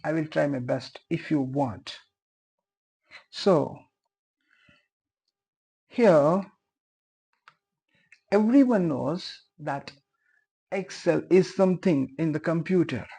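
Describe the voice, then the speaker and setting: A man's voice: fairly high pitch, animated, slow pace, creaky, long pauses, warm tone, husky. A young man whose first language is Urdu, earnestly explaining a beginner's tutorial and repeating his points, with many fillers. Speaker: teacher.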